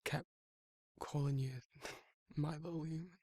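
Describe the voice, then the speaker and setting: Casting: man to act as tender, guarded male werewolf for ASMR roleplay; slightly high-set but husky voice, hesitant, rambling delivery. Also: steady tone, mellow, no echo, studio quality. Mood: shy, tender. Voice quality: husky, breathy, gravelly. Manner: hesitant, halting, rambling, informal. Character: guarded, soft-hearted male werewolf shyly confessing affection. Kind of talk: intimate ASMR roleplay monologue.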